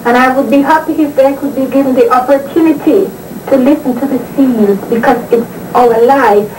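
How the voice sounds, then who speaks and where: A woman from Jamaica, giving calm, sincere, deliberate testimony in a recorded interview. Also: sits high. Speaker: interviewee, church member. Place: indoors in a residential compound. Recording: roomy, distant, noisy archival video.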